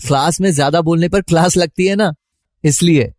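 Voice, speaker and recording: A man's voice: a little high, moderate pace, darkish tone, no echo, studio quality, close to an everyday voice. A man playing a shy, dutiful, anxious young college student in scripted story dialogue. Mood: shy, flustered.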